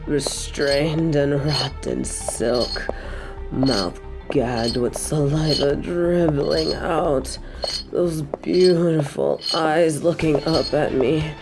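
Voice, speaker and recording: A woman's voice: deep voice, fairly even intonation, over music, exaggerated voice, low-quality recording. A woman playing a possessive, imperious evil queen in an audio roleplay, taunting and haughty. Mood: possessive, sultry. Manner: slow, taunting, imperious, with breathy drops to a whisper. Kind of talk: scripted audio roleplay drama.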